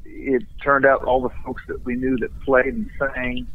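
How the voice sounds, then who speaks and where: A man from Western Massachusetts, a guest musician, recalling his background in a relaxed, easygoing way during a phone interview. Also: medium pitch, normal speed, slight background noise. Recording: tinny phone line.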